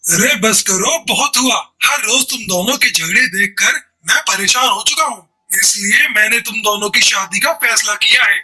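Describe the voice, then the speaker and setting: Woman doing a fed-up dad bird in a cartoon, very sharp, raspy edge, emphatic. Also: on the high side, normal speed, exaggerated voice, poor audio. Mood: exasperated, stern. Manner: emphatic, exasperated. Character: fed-up father bird, stern but caring.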